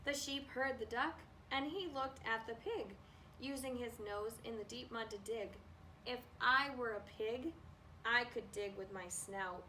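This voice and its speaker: Woman, high-pitched, unhurried expressive storybook reading, distant mic, faint background noise. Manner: expressive, acts out animal noises. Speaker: children's librarian reading storybook aloud. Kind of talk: storybook read-aloud for children.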